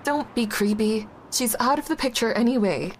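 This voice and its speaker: A woman playing a sensible, dry, exasperated young friend in an audio drama, cautioning and measured. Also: fairly high pitch, a little hiss or hum, close to an everyday voice. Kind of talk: naturalistic audio drama acting.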